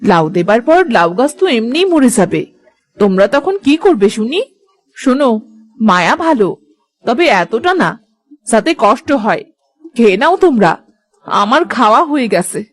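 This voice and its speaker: Woman as animated older village mother-in-law. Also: fairly high pitch, normal speed, long pauses. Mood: practical, advising. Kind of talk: animated moral story acting.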